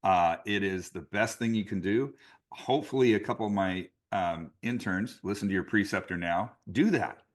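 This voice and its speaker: Low-voiced man hosting a podcast. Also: moderate pace. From California. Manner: emphatic, repeats himself for effect. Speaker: podcast host, athletic trainer. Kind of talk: casual podcast interview.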